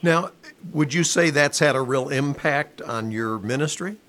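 A man's voice: fairly high pitch, unhurried. A man from western North Carolina hosting an interview, affirming his guest and telling stories of his own. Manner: warm affirming interjections, storytelling. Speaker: interview host. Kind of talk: conversational interview.

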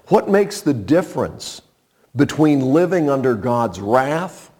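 A man in his sixties preaching a sermon in a conversational, folksy way, with rhetorical questions and pauses. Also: mid-range voice, darkish tone. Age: 60s. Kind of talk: sermon with scripture reading and personal anecdotes.

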